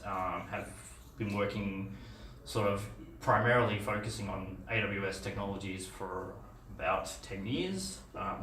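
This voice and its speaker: A man's voice: sits low, a bit bright, a little hiss or hum. A middle-aged man with an Australian accent gives a meetup talk in a casual, conversational way, full of fillers and restarts. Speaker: meetup speaker. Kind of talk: conference talk. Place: dim meetup room with a projector screen. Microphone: far camera. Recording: echoey room, distant mic.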